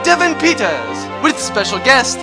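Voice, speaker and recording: A man's voice: extremely high pitch, wildly swooping pitch, unhurried, over loud music, voice pushed up, heavily acted. A man playing a grandiose old-time adventure serial announcer, reading a script theatrically. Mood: grand, theatrical.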